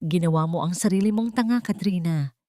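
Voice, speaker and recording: A woman voicing a proud, blind rich man in a romance story, calm and firm with a sharp edge. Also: fairly low pitch, brisk, no room sound, lightly acted. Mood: cold, cutting.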